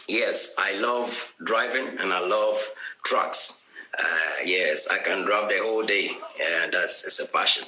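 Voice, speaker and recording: A man's voice: on the low side, sharp tone, roomy sound, rough recording. A man from Ghana, a guest evangelist on an online chat, speaking hesitantly with many fillers and restarts.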